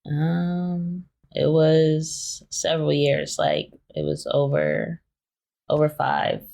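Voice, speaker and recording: A woman in her twenties, a guest talking candidly about her relationships in a hesitant, soft-spoken way with lots of filler words. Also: sits low, slow pace, gravelly. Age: 20s. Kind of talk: candid personal interview.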